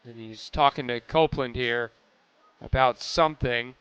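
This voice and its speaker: A man's voice: medium pitch, steady tone, moderate pace, fairly bright tone, clear, resonant tone, no echo, highs cut off. A man doing radio sports commentary as a play-by-play announcer.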